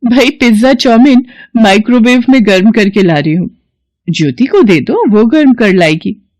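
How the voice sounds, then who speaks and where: A woman's voice: on the high side, lively intonation, normal speed, a little mellow, no echo, natural voice. Woman voicing a snobbish, taunting sister-in-law. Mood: scornful, mocking. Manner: taunting, boastful. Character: sharp-tongued, snobbish city-bred elder sister-in-law.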